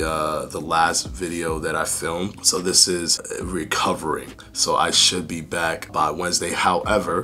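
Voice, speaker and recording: Young guy, voice shot and raspy, super deep and flat, rambly fitness vlog update. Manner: rambling with many fillers and restarts. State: hoarse, losing voice. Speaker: fitness YouTuber.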